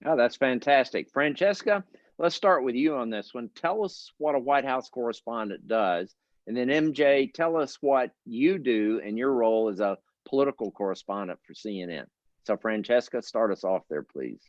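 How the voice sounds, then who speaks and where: A middle-aged man moderating a virtual panel discussion, polite and measured, with small restarts. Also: medium pitch, lively intonation, no room sound, dull audio. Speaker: host, institute director.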